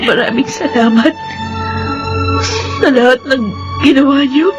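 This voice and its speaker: A woman playing an emotive young elder sister and daughter in a Filipino radio drama, with drawn-out delivery and a Filipino accent. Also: high voice, music in the background. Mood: tearful, remorseful.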